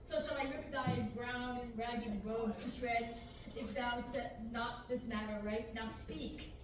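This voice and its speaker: A woman's voice: on the high side, fairly even intonation, measured pace. A young adult woman reading a mock-Shakespearean script aloud as an amateur at a table reading. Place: large indoor rehearsal room, far camera. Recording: distant camera in echoey noisy room.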